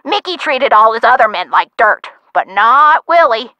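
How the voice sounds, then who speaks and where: A woman playing a feisty, sharp-tongued elderly woman who tells theatrical stories of her youth in a video game. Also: fairly high pitch, expressive, moderate pace, no room sound, dull audio, lightly acted. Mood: chatty, reminiscing. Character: feisty, sassy elderly woman, nostalgic for the twenties. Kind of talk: video game character acting.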